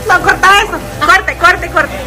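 A woman's voice: very high voice, unhurried, background noise. A woman in a home kitchen trading joking, teasing banter with the vlogger.

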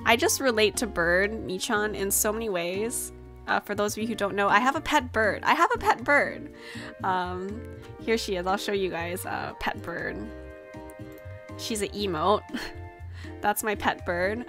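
A woman's voice: mid-range voice, unhurried, fairly bright tone. A young adult woman chatting casually and playfully on a gaming livestream, rambling and giggly, into a desk microphone at home. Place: small room at home, indoors. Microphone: desk microphone with headset. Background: music.

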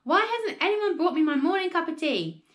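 A woman voicing a domineering, selfish old grandma in a storybook, shouting and accusing. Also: medium pitch, lively intonation, normal speed, lightly acted. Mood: indignant, demanding.

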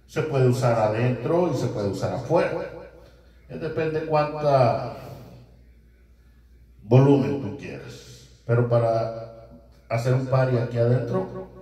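A middle-aged man casually demonstrating a karaoke speaker in his living room, talking in a relaxed, upbeat way into a handheld mic. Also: medium pitch, takes it slowly, long pauses. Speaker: product reviewer. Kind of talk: casual product demo. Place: small home living room, indoors. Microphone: handheld karaoke mic through speaker, filmed by far camera. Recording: echoey karaoke speaker, far camera.

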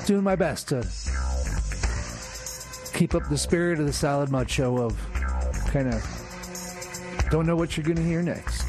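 A man hosting a music radio show as guest DJ, casually announcing songs in short, halting phrases. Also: fairly high pitch. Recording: music playing behind the voice.

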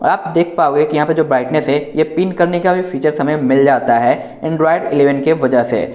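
Indian guy doing a tech review, slightly high voice, explains step by step, roomy echo. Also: steady tone, fairly quick, darkish tone. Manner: explanatory, addresses viewers directly. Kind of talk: informal smartphone software walkthrough. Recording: echoey, roomy sound.

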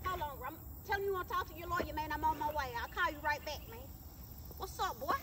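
A woman's voice: sits high, quick pace, close to an everyday voice. A woman playing a slick, shady self-styled male lawyer in a comedy skit, talking evasively in repetitive street slang. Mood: brisk, dismissive. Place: outdoors beside a shipping container. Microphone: phone camera from a few meters away. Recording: distant outdoor phone audio with noise.

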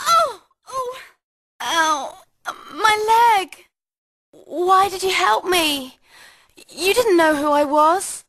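A woman's voice: extremely high pitch, bright, forceful tone, no echo, clean studio sound, heavily acted. A woman voicing a young female fox heroine in a video game, earnest and dramatic, with a girlish voice. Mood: pained panic, then puzzled.

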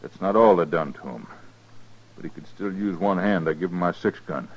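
Low-voiced, wary cowboy. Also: male voice, moderate pace. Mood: grim. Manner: folksy, laconic frontier talk. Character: wary, grim cowboy rider. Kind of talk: 1950s radio drama acting. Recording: muffled, noisy 1950s radio recording.

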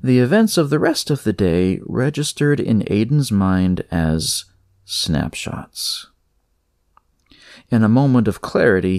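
A man narrates horror fiction at a slow pace. His mid-pitched voice has a creaky edge and moves with lively intonation. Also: no room sound, clean studio sound. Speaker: story narrator.

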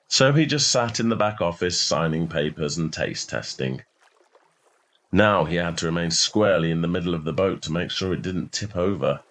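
A man with a low-pitched voice reads literary fiction as a storyteller. His delivery is scripted and measured, with expressive phrasing throughout. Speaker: short story narrator.

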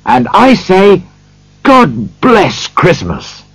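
A man's voice: medium pitch, huge pitch swings, takes it slowly, slightly rough, no room sound, pitched above the performer's own voice, exaggerated voice, rough recording. A man voicing a kind, cheerful young nephew in a storybook, hearty and earnest. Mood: earnest, warm.

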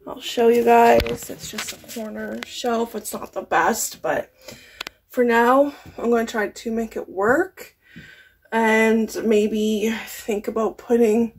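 A woman's voice: a little high, level tone, takes it slowly, breathy edge. A woman in her thirties chatting casually to camera at home as a lifestyle vlogger, laughing often as she talks through her chores. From Canada. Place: bedroom at home, indoors.

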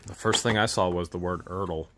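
Man, lowish voice, casual chat, joking, quick reactions, warehouse room, noisy background. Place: cluttered storage warehouse room, indoors.

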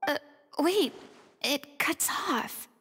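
A woman voicing a thoughtful, solemn young woman in an anime game, with measured, expressive delivery. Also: sits high, big-room sound, exaggerated voice. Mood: troubled, solemn.

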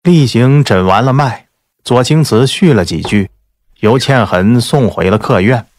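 A man's voice: mid-range voice, expressive, unhurried, darkish tone. A man reading scripted dramatic narration from a romance novel. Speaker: audiobook narrator.

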